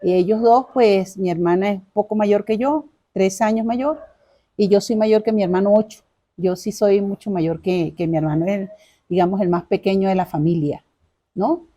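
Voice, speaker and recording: A woman's voice: medium pitch, normal speed, mellow, dull audio. A middle-aged woman with a Caracas Venezuelan accent, telling her life story informally as an interview guest, chatty and courteous, often repeating her phrases.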